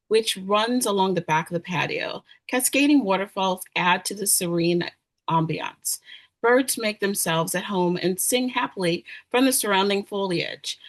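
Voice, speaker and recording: A woman reads out a screenplay's stage directions as narrator. Her pitch sits in the middle range, and she now and then trips on a word and repeats it. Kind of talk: screenplay table read narration.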